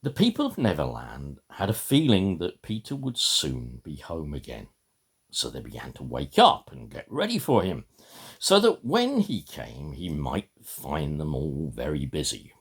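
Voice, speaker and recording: A very low-voiced man with a husky, gravelly tone speaks slowly and personally to camera. His delivery is halting and emphatic, swinging dramatically from low mutters to raised words. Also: wildly swooping pitch. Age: middle-aged or older. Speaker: video maker giving a reading. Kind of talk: rambling personal talk to camera.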